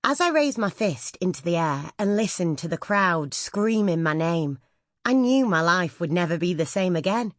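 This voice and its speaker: A woman's voice: on the low side, expressive, normal speed, dry recording, studio quality. A woman reading a scripted first-person memoir as an audiobook narrator.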